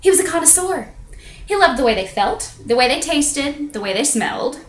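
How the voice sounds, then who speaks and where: Casting: actress as candid, self-conscious woman, stage monologue, higher pitch, weighty pauses, roomy webcam sound. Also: big pitch swings. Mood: wry storytelling. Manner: dramatic pauses, drawn-out emphasis. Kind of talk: theatrical confessional monologue. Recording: echoey room on low-quality webcam.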